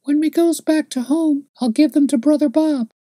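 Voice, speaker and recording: A man voicing an innocent, solemn five-year-old girl with a high childish voice. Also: normal speed, warm tone, no echo, studio quality. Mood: chattering. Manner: high childish voice, earnest and plain.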